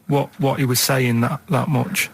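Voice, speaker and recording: A man's voice: mid-range voice, flat delivery, normal speed, creaky, breathy edge. Rambling, blunt man chatting casually. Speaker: radio show co-host. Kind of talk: casual chat, rambling anecdotes.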